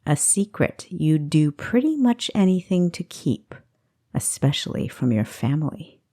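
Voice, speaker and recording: A woman's voice: sits low, sing-song, measured pace, mellow, full character voice. A woman voicing a cocky, charming male thief in an audiobook, with a sly, teasing manner. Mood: sly, insinuating. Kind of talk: audiobook character acting.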